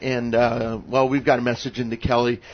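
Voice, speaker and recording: A man with a raspy voice hosting a talk radio show, joking and rambling in casual chat. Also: medium pitch, level tone, normal speed. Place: radio studio. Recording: lo-fi radio broadcast.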